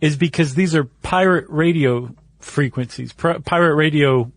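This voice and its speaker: Male podcast co-host, slightly high register, conversational explainer, close and dry on mic. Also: measured pace, no room sound. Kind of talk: casual explanatory podcast chat.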